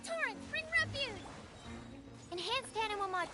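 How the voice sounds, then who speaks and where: High, fierce game heroines. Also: female voice, animated, normal speed, music in the background. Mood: commanding. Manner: short clipped battle cries. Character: fierce young female fighters barking battle calls. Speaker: playable game characters.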